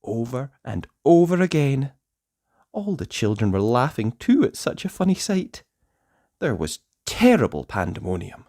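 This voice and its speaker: A man narrating a children's storybook aloud in a playful way, with dramatic pauses and emphasis. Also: medium pitch, very animated, a little mellow, no echo, clean studio sound.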